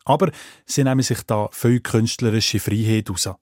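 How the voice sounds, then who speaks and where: Low-voiced Swiss German radio reporter, dry sound. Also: male voice, normal speed, a little mellow, no room sound. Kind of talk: scripted narration.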